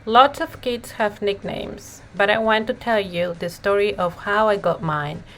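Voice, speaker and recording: A woman narrating a children's picture book in an expressive read-aloud style, with deliberate phrasing and emphasized words. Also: mid-range voice, poor audio. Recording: music playing behind the voice. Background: music.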